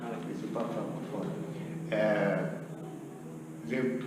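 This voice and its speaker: Echoey, distant Portuguese-speaking man, halting delivery. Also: fairly low pitch, fairly even intonation. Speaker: interviewee, undocumented migrant. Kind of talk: halting personal account in learned French. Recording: distant, echoey hall with clear noise.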